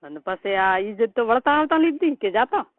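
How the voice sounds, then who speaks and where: A woman's voice: on the high side, expressive, moderate pace, no echo. Tinny-phone Marwari woman, scolding. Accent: rural Rajasthani Marwari. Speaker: caller, relative giving advice. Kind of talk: private phone conversation. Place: recorded telephone call. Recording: tinny phone line, noisy call recording.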